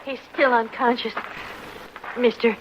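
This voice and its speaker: An actress playing a devoted, anxious frontier wife in a radio western, earnest and pleading. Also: female voice, a little high, fairly even intonation, a little slow, lightly acted. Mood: urgent. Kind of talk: old-time radio western acting. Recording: muffled, hissy vintage radio recording.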